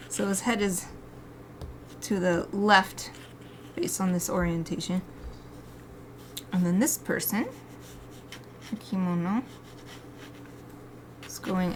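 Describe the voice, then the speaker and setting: Woman, chatty, giggly, mid-pitched voice, faint room noise behind her. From United States. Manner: chatty, giggly, talks to herself and reads chat aloud. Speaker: artist streaming live drawing. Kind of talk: casual chat while drawing live.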